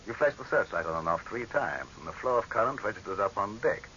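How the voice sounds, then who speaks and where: An imperious dictator in a 1930s radio horror play. His low voice is forceful and piercing, swinging dramatically as scornful command gives way to panic. The old recording is dull and faintly hissy. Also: expressive, fast talker. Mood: angry, commanding. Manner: imperious, haughty, barking orders. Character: pompous tyrannical male dictator, commanding, scornful, cracking into panic.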